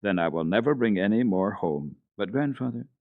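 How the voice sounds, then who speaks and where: A man's voice: animated, normal speed, dry recording, narrow, tinny audio. A man voicing an eager, cheerful little mountain girl in a raised, questioning voice, from an old radio recording. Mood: anxious questioning. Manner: high, pleading, wide-eyed questioning.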